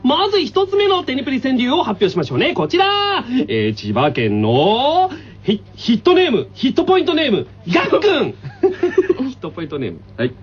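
Very animated joking male radio host. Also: very high voice, a little fast. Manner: joking, reacts in casual slang. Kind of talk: playful radio banter. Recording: dull lo-fi radio recording with music. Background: music.

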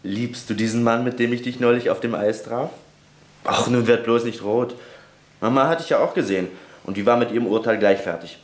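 A man's voice: mid-range voice, brisk, echoey room, close to an everyday voice. An actor playing a cheeky, precocious teenage boy who teases his older sister, in a German radio drama. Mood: teasing. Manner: teasing, precocious.

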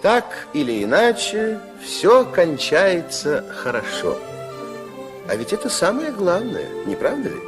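An actor playing an expressive, kindly storyteller, narrating a children's fairytale radio play of the 1960s in a theatrical manner. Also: male voice, high voice, a little slow, music in the background, exaggerated voice.

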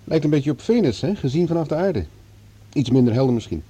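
A polite, slightly hesitant man working at a space base in a radio play. His voice is very muted and husky, and he talks fast. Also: on the high side, animated, mellow. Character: polite, deferential male Mars base assistant, slightly hesitant. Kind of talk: Dutch science fiction radio drama acting.

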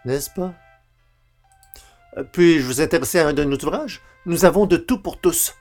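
An actor playing a hapless, grumbling bookseller in a comic old-time radio play, hesitant and theatrical. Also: male voice, on the high side, moderate pace, a little mellow, soft background music, close to an everyday voice. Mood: eager, theatrical. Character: hapless, grumbling everyman, failed singer turned bookseller.

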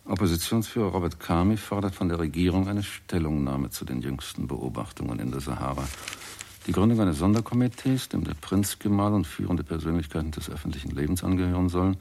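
An actor playing a stern official news announcer, reading a bulletin deliberately and formally in a 1960s radio play. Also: male voice, low-pitched, gravelly, a little mellow. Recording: noisy vintage radio recording.

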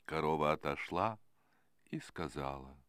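Male fable narrator: deep, expressive, widely varied melody, dry studio sound. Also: unhurried, clear, resonant tone, no echo.

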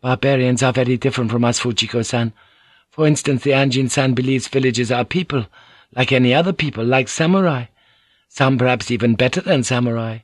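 A man voicing a composed, gentle noblewoman who explains things patiently. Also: mid-range voice, brisk, no echo, clean studio sound, pitched above the performer's own voice, natural voice.

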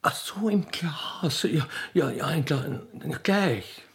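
A man voicing a naive, chatty railway keeper's daughter with an Austrian accent in a radio play, halting and repeating words eagerly. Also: fairly high pitch, lively intonation, fairly bright tone, slightly rough, voice pushed up, exaggerated voice. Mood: flustered. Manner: halting, repetitive, rustic and eager. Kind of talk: radio play character acting.

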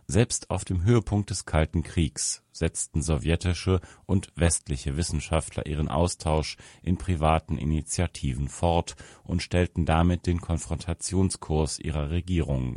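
A man reading a scripted article aloud as narrator, in a measured, formal manner. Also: a bass voice, warm tone, no echo, studio quality.

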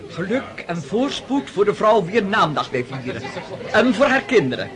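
An actor in a radio drama playing a blunt, imperious society dame who teases and scolds. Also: male voice, high voice, big pitch swings, moderate pace, fairly bright tone, some chatter behind. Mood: boisterous teasing.

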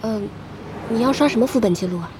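An actress playing a friendly, supportive young pro gamer chatting familiarly and curiously in a Chinese audio drama. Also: female voice, fairly high pitch, fast, background noise, close to an everyday voice.

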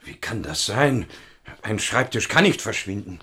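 An excitable middle-aged father. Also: unhurried, roomy sound, exaggerated voice. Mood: agitated. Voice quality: agitated, swings from calm to shrill. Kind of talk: radio play. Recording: radio drama with music behind.

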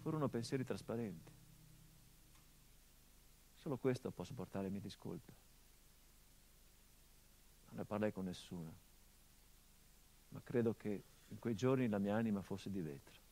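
A middle-aged actor playing a grieving son whose father was murdered, speaking a theatrical monologue in a measured, grave, introspective way. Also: fairly low pitch, dark tone, poor audio.

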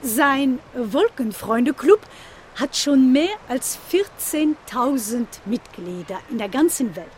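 A woman's voice: high-pitched, expressive, slow, darkish tone, a little hiss or hum. A woman playing a tour guide in a radio play, talking in an informal, conversational, anecdotal way. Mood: chatty, anecdotal. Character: chatty, informal female tour guide at a seaside visitor site. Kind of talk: casual guided-tour talk.

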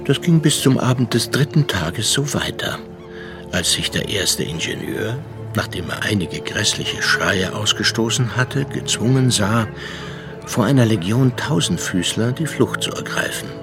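An actor playing a seafaring narrator in a radio drama, telling the tale in a measured, literary manner. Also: male voice, on the low side, expressive, music in the background. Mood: measured, recollecting. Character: male seafaring narrator, measured, observant storyteller.

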